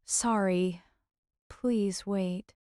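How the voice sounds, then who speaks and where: A woman's voice: mid-range voice, fairly even intonation, extremely slow, dark tone, dry recording, studio quality. A woman playing an earnest, hesitant teenage girl in an audio drama. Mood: hesitant, serious.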